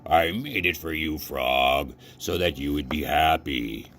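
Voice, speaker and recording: Man playing a low, plaintive storybook toad. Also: animated, measured pace, fairly bright tone, slight background noise, exaggerated voice. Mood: plaintive, sad. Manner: plaintive, earnest. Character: anxious, devoted, earnest male toad, storybook animal.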